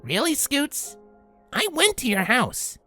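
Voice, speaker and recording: A woman voicing a tomboyish, protective grown pegasus pony, speaking in a calm, low, reassuring way in an acted audio drama. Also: slow pace, soft background music, heavily acted. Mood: calm, firm.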